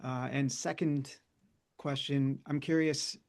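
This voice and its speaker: A man's voice: medium pitch, little pitch movement, slow pace, a little mellow. A man from Michigan asking a guest questions on a video call, curious and casual, often using "like" as filler. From Michigan, USA. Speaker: audience member asking questions.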